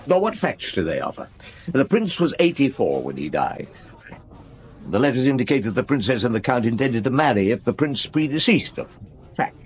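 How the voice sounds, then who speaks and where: An actor playing a brooding, probing middle-aged police chief inspector in a radio drama, with pensive hums and pauses. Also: male voice, medium pitch, big pitch swings, lightly acted. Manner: ruminative, questioning, with hums and pauses.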